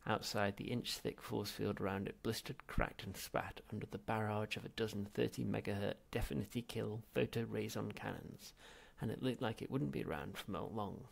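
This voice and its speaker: Gravelly, husky male audiobook narrator. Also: lowish voice, fairly even intonation, normal speed, hardly any pauses. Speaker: audiobook reader. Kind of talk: expressive literary narration of comic science fiction.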